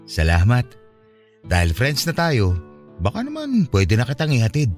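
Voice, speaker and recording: A troubled young Filipino man in a radio love story. He is sincere and confiding, with an average-pitched male voice. Soft music sits behind his lines. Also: wildly swooping pitch, moderate pace. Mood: hopeful. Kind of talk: Filipino radio romance drama acting.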